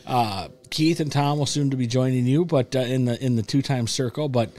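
A middle-aged American man hosting a casual podcast in an animated, rambling way, close on a studio mic. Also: mid-range voice, moderate pace. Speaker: podcast host. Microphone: close boom-arm podcast microphone with headset.

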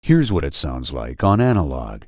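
A man reading scripted instructional narration for a training video, deliberate and emphatic. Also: extremely low pitch, sing-song, noisy background. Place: studio voice-over.

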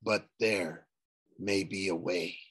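A man doing a hushed, sly ghost. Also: low-pitched, fairly even intonation, husky, voice pushed down, full character voice. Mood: coaxing, sinister. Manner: slow, persuasive and coaxing. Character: sly menacing male ghost, a shadowy wisp. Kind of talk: audiobook character voice.

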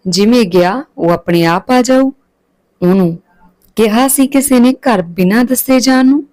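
A woman's voice: fairly high pitch, measured pace, darkish tone, no room sound, pitched above the performer's own voice, close to an everyday voice, poor audio. Woman reading as blunt, resentful young man. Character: resentful grown son, blunt, grudging older brother.

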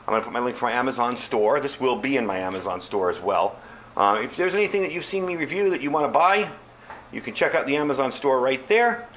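Male product reviewer, medium pitch, informal and unscripted, talking straight to viewers over audible background noise. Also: lively intonation, quick pace, a bit bright. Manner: informal, conversational, addresses viewers as folks. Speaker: product reviewer, channel host.

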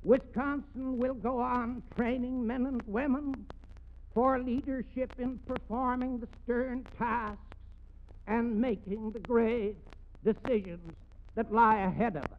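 An older man giving a solemn, declamatory speech in 1940s radio style, deliberate with weighty pauses, on a period broadcast recording. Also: very high voice, steady tone, slight background noise. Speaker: alumni leader giving an address. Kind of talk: formal 1940s radio oratory. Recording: muffled, narrow-band 1940s radio recording.

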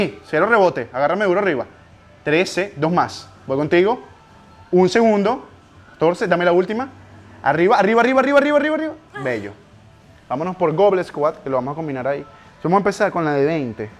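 Young man coaching reps in a garage. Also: a little high. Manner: urgent encouraging counting of reps, pushes harder near the end. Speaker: personal trainer. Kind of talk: live coaching a workout. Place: home garage gym, indoors.

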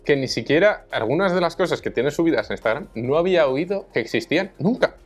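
Young Madrid man, higher-pitched voice. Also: animated, fast talker, faint music behind. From Madrid, Spain. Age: young adult. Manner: jokey, colloquial. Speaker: co-host. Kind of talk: casual chat. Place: small studio set, indoors. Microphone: lapel.

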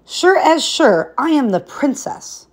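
A woman's voice: high voice, big pitch swings, slow, voice pushed up, exaggerated voice. A woman voicing a spoiled, haughty young princess in a storybook, with a petulant, emphatic manner. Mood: indignant.

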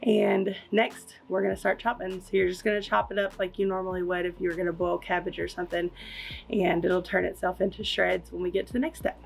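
A woman's voice: fairly low pitch, little pitch movement, brisk, vocal fry. A young woman with a Kentucky accent, chatting upbeat as she explains a kitchen recipe step by step at home. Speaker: homestead vlogger. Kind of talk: casual kitchen how-to. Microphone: camera on the counter.

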